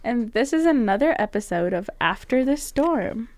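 A young woman co-hosting a casual podcast, animated and quick to laugh, with lots of "like" fillers. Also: on the high side, no room sound. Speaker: podcast co-host. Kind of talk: casual chat.

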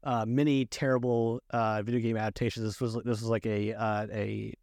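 Halting male podcast host, very dry sound. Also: on the low side, level tone, creaky, darkish tone, no room sound. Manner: halting, repetitive delivery. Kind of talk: casual movie review chat.

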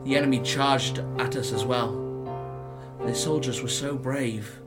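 A man's voice: mid-range voice, fairly even intonation, unhurried, over loud music, natural voice. A man playing a haunted young soldier narrating his war in a radio play monologue. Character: haunted young soldier recalling trench warfare. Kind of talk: dramatic first-person radio monologue.

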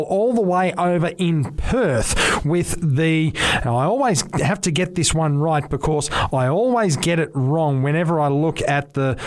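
Australian man, radio sports host, chatty, jokey, slightly high voice. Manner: jokey, chatty, rambling questions. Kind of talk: casual radio interview.

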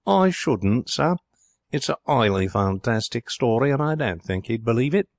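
A man voicing a stately, deferential old butler who is indulgent and quietly scheming in a storybook reading. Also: medium pitch, animated, moderate pace, no echo, studio quality, voice pushed up, natural voice. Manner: courtly, indulgent, formal and deferential.

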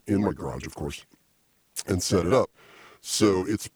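A middle-aged male host with a very deep voice and a husky, rough edge to it. He rambles and needles his sidekick with deadpan jabs. He speaks close into a small desk mic in a home music studio. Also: dry recording. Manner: teasing, rambling, deadpan insults. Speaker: podcast host. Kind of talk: casual comedic chat. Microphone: small desk mic stand.

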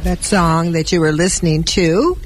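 A woman's voice: on the low side, moderate pace, over loud music. Effusive woman hosting a radio show. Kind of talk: community radio interview. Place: radio broadcast.

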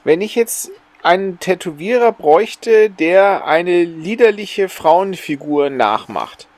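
Man, fairly high voice, dry studio-like sound, wry, questioning, casual podcast chat. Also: expressive, takes it slowly, darkish tone, dry recording. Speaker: podcast co-host.

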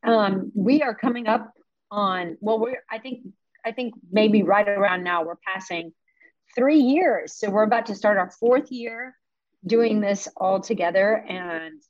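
A woman's voice: mid-range voice, unhurried, no room sound, dull audio. A woman co-hosting a wellness podcast from home, chatting casually and laughing often. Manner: giggly and chatty. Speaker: podcast co-host.

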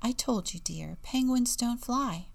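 Big kindly female petrel, played by a woman, thoughtful, plain-spoken, animated storybook delivery. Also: fairly high pitch, a little slow, gravelly, voice pushed up, heavily acted. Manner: kindly, matter-of-fact. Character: big kindly female seabird, pondering, singing advice.

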